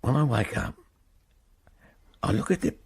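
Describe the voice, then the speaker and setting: An actor playing a weary, bitter man of seventy in a radio drama, gravelly and husky, reflecting haltingly on his past. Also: mid-range voice, lively intonation, a little mellow, a little hiss or hum. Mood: haunted, reflective. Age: 70s. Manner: slow, halting, reflective monologue.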